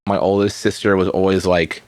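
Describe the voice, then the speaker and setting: Laid-back guest musician, man in his thirties, lowish voice, dry close sound. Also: a little fast, no echo. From Redmond, Washington. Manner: relaxed, rambling, frequent fillers and restarts, laughs easily. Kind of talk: casual interview.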